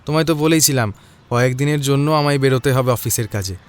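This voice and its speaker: A man's voice: medium pitch, quick pace, clear, resonant tone, lightly acted. A man playing a chatty office worker who explains himself conversationally in an audio drama. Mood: matter-of-fact. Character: chatty male office worker, narrator's friend.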